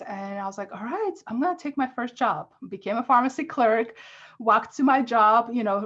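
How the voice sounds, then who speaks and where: Chatty woman from Armenia, lo-fi sound. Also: medium pitch. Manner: chatty, heavy on "like" and "you know". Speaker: podcast guest. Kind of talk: casual interview storytelling.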